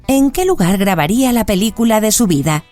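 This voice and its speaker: A woman's voice: mid-range voice, animated, a little fast, dark tone, faint music behind, lightly acted. A woman voicing a female automated movie-booth machine that reads out quiz prompts in a formal announcing manner. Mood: formal prompting. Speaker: quiz host voice.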